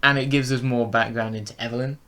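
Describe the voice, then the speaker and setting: A man's voice: medium pitch, fairly even intonation, fast talker, fairly bright tone. A man co-hosting a casual review chat, hesitant as he thinks his opinions through aloud. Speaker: co-host of a review show.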